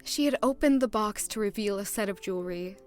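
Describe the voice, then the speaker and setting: An actress playing a gentle, sentimental young lady in an audio drama, polite and soft-spoken, narrating in first person. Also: medium pitch, brisk, soft background music, close to an everyday voice. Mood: tender, reflective.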